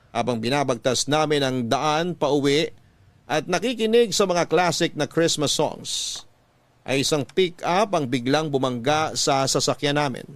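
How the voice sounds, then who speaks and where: A man's voice: fairly high pitch, no echo. A man reading a scripted first-person story in a sentimental, radio drama storytelling style. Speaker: letter narrator in a radio-style love story podcast.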